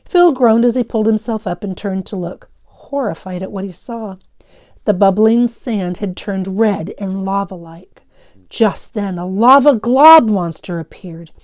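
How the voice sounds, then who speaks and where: A woman's voice: medium pitch, unhurried, a little mellow. A woman teaching fifth grade, explaining instructively and reading a story aloud to pupils.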